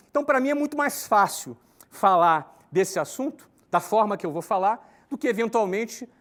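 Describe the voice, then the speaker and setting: A man in his forties giving a conversational talk, often checking in with tag questions and listing points in an emphatic rhythm. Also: high voice. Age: 40s. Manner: emphatic, lists steps in a lively rhythm. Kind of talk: recorded talk to an online audience.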